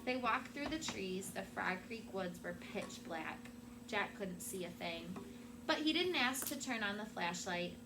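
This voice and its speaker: A woman's voice: medium pitch, animated, a little slow. Woman teacher reading aloud, noisy recording. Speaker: classroom teacher. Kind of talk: expressive children's read-aloud narration. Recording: clearly noisy room recording.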